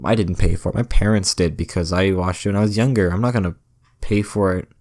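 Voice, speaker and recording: A young man with a slightly low-pitched voice rambles through a solo podcast. He stumbles and restarts his sentences often and delivers his jokes with a dry, self-mocking deadpan. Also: fast. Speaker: podcast host.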